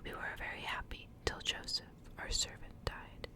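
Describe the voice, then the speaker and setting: A woman's voice: moderate pace, close to an everyday voice. Woman whispering as frail frightened young girl. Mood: weary, faint. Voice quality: faint, breathy whisper. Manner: murmuring, pleading.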